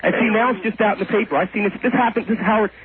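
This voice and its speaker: A man's voice: high voice, fairly even intonation, very fast. A man phoning in to a radio show, arguing heatedly in an insistent, repetitive, accusatory way. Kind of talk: heated unscripted phone-in argument. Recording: tinny narrow phone line on radio.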